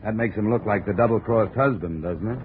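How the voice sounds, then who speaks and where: An actor playing a hard-boiled, wry male investigator in 1950s radio drama, brisk, probing and sardonic. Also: lowish voice, lightly acted. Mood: tough, pointed. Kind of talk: 1950s radio detective acting and narration. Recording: scratchy, narrow-band 1950s radio recording.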